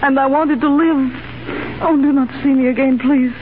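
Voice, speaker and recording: An earnest young Russian revolutionary played by a woman who speaks formally, in a high voice. The sound is tinny and thin, with clear hiss, like a 1930s radio broadcast. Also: steady tone, moderate pace, lightly acted. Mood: tender.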